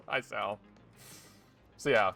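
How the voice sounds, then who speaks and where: A man's voice: lowish voice, extremely slow, gravelly, sharp tone. A young man casually commenting on his gameplay, thinking aloud, swearing and chuckling often. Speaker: game streamer. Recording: game music behind.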